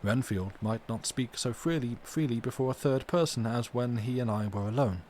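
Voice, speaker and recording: A man's voice: mid-range voice, moderate pace, darkish tone. Man reading a gothic novel, faint noise. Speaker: audiobook reader on a livestream.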